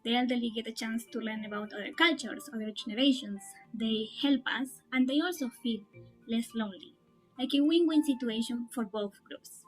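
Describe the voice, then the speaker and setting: A woman's voice: a little high, normal speed, faint music behind, low-quality recording. A woman, a nonprofit founder steadily explaining her project in a personal testimony. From Argentina.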